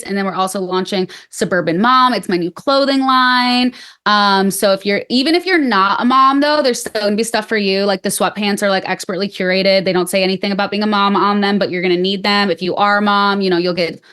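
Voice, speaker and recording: A woman's voice: mid-range voice, a bit bright, clean tone. Chatty Midwestern woman guest. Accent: Midwestern American. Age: 30s. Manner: chatty, filler-heavy, frank. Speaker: podcast guest. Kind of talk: casual chat.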